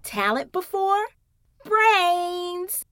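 A woman's voice: medium pitch, animated, unhurried, heavy background noise, close to an everyday voice. A woman reading a storybook, voicing a wry, sassy teenage girl with a dry, teasing way of talking.

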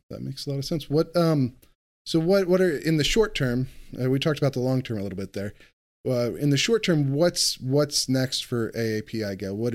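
A man hosting a podcast, mid-pitched, framing questions with fillers and restarts. He speaks conversationally. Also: darkish tone. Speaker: podcast host. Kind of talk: interviewing guests.

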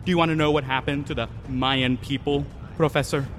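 A man's voice: medium pitch, steady tone, a bit bright, slight background noise, lightly acted. An actor playing a vengeful Mayan man in an audio drama, mocking and menacing as he toys with an intruder. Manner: taunting, deliberate, menacing.

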